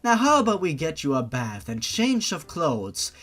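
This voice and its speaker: A man's voice: fairly high pitch, huge pitch swings, moderate pace, fairly bright tone, full character voice. A man voicing a fierce, doting, protective older sister in a storybook reading, earnest and solemn. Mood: tender reassurance.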